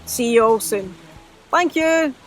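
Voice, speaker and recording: A woman volunteer giving an excited, chatty thank-you message after winning an award. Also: fairly high pitch, slow, soft background music. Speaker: award winner, cadet volunteer.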